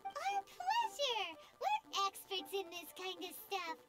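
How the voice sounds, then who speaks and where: A woman voicing a tiny, food-obsessed fairy companion in a game cutscene, excitable and bossy, with a squeaky voice and game music behind. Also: takes it slowly, full character voice. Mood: eager.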